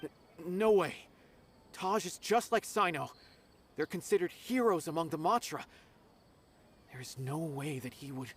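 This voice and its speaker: A young adult man voicing an earnest game character who is quick to protest, speaking over soft game music. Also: fairly high pitch, breathy edge. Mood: upset.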